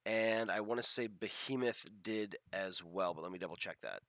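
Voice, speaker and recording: A man's voice: on the low side, normal speed, a bit bright, a little hiss or hum. A man hosting a live game stream, chatting casually and self-deprecatingly to viewers through a headset, congested from a head cold.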